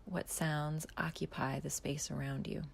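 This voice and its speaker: A woman calmly guiding a body scan meditation, speaking slowly and softly with long pauses and a half-whispered delivery. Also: sits low, gravelly, slight background noise. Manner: slow, soft, calm instructions with long pauses, often half-whispered. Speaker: mindfulness guide.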